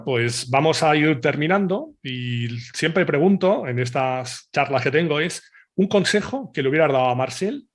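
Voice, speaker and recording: Spanish guy hosting a podcast chat, laid back, mid pitch, lots of little ujús while the guest talks. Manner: relaxed, conversational, frequent "ujú" backchannels. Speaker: podcast host. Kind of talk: casual interview chat.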